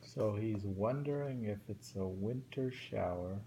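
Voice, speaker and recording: A man's voice: lowish voice, measured pace, warm tone. A man casually reading translated poems aloud and musing about them, hesitant and thinking aloud. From New York. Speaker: poetry reader. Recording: faint background noise.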